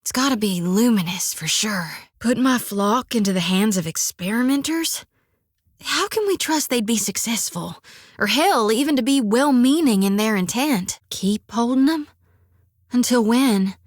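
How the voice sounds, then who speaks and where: An actress voicing a devout, fiery young priestess in a video game, with a folksy drawl and country sayings, recorded on a dry studio mic. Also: female voice, a little high, animated, unhurried, no echo. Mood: earnest. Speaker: game companion character.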